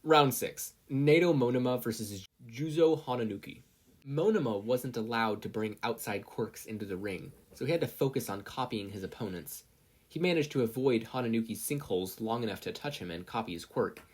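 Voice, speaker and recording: A narrator with a husky voice reading fan fiction as scripted audiobook-style narration. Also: mid-range voice, normal speed.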